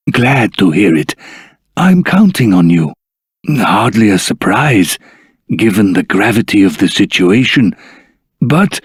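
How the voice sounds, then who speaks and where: An actor playing a wise, earnest old grandfather from a dragon folk in a video game, speaking formally in a husky, rough-edged voice. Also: medium pitch, dry recording, studio quality, heavily acted. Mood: gentle, then earnest, solemn. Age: older. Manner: formal, measured, deliberate storytelling. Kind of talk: scripted video game voice acting.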